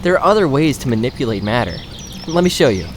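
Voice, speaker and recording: A man playing a calm, teasing young dragon lord. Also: medium pitch, fast talker, natural voice. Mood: gentle teasing. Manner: gentle, encouraging, a little formal. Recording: hiss-laden recording with bird ambience.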